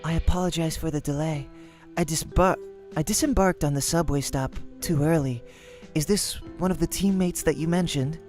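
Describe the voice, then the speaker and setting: A man voicing a reserved, blunt young woman gamer in a quiet, precise, formal manner for a visual novel. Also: fairly high pitch, normal speed, faint music behind, pitched above the performer's own voice, close to an everyday voice. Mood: polite, reserved.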